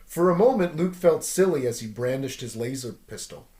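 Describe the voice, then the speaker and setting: A man reading a story aloud as narrator, in an animated storytelling style. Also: medium pitch, normal speed, echoey room.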